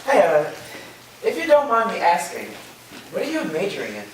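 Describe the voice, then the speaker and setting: A man plays a laid-back young college student in a staged reading. The voice is high and lively, with casual, friendly phrasing. It sounds distant in a strongly echoing room. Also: normal speed, slight background noise. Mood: friendly, curious. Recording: very echoey room, far from mic.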